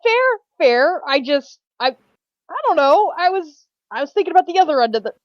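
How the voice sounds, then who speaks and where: A woman's voice: high-pitched, sing-song, normal speed, no echo. A woman chatting casually as a player in a tabletop game, on a slightly muffled microphone.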